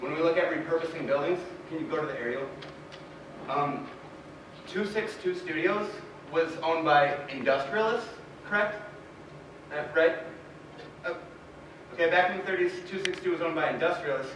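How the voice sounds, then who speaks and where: A man with a fairly high voice rambles through an informal public comment, often seeking agreement. He sounds far from the microphone in a very reverberant hall with clear noise. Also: moderate pace, long pauses, a bit bright. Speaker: audience member. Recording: very echoey theatre, distant, noisy.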